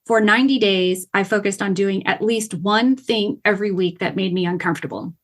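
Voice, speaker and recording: A woman in the middle of her range talks casually as a podcast guest. She tells her stories in an upbeat, animated way, with quick shifts of emphasis. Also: medium pitch. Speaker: podcast guest, gamification coach.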